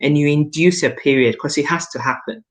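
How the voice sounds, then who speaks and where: A woman's voice: sits low, brisk. A woman in her late thirties giving a conversational personal testimonial as a guest, stressing her points emphatically with repeated phrases. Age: late 30s.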